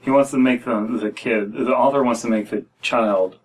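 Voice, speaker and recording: An American man with a mid-pitched voice reads stories aloud in a loose, casual way. He often stumbles and wanders into asides. He sounds a little way back from the microphone in a room. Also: fairly even intonation, normal speed. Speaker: podcast host reading stories aloud. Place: small room at home, indoors. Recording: distant mic in a room.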